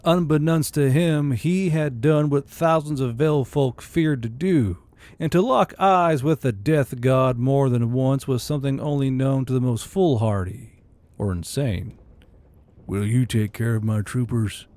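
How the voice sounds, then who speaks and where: Mellow male audiobook narrator. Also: mid-range voice, a little slow, dry recording. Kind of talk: scripted audiobook narration of fantasy war fiction.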